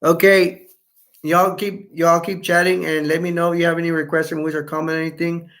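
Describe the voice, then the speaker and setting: A man hosts a live chat stream, reading out viewer comments and wandering from one thought to the next. His voice sits somewhat high and has a gravelly fry in it. It is getting hoarse as he talks. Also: level tone, brisk, a bit bright. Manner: rambling, self-correcting, chatty. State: voice going hoarse.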